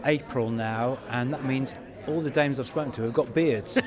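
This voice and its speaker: A man's voice: medium pitch, normal speed. A man hosting casual, congratulatory interviews at a busy theatre event. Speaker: podcast host and interviewer. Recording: busy crowd chatter behind.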